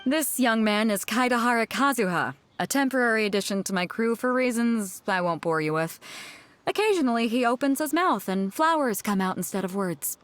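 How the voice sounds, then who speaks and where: A woman's voice: on the high side, animated, moderate pace, close to an everyday voice. Bold, swinging-voiced female ship captain played by an actress. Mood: teasing, amused. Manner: breezy, confident, teasing. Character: bold, hearty female ship captain, swaggering and good-humoured. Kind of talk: video game story dialogue.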